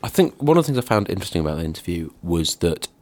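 A man's voice: deep voice, very animated, very fast, soft background music. A man hosting a radio discussion who speaks hesitantly, repeating words as he gets to his point. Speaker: radio host. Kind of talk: studio discussion.

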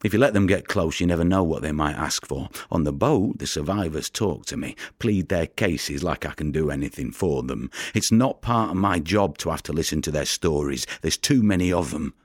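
An actor playing a world-weary, wary fisherman in an audio drama monologue, with a gravelly voice and a wry, colloquial way of telling it. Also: rumbling low, lively intonation, normal speed, few pauses, no room sound, clean studio sound. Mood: wary, uneasy.

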